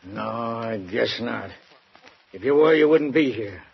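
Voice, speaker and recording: Gravelly upset friend, husky raspy voice, rattled, muffled old radio. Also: male voice, mid-range voice, lively intonation, slow pace, full character voice. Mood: agitated. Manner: agitated. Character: gravelly worried male friend of victim. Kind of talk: 1940s radio drama acting. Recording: muffled 1940s radio broadcast.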